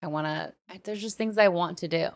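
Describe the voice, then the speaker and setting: Woman chatting casually, slightly low voice. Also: a little fast. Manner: informal, slangy, thinking aloud. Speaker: podcast co-host. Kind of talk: casual podcast chat.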